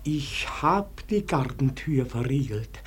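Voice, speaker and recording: A fearful, deferential manservant speaks in a medium-pitched voice with very slow, hesitant phrasing. He sounds awed and uneasy, sinking toward whispers, on a faintly noisy old radio drama recording. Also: lively intonation. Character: frightened, deferential manservant with a dread of uncanny intruders. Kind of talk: classic German radio verse drama.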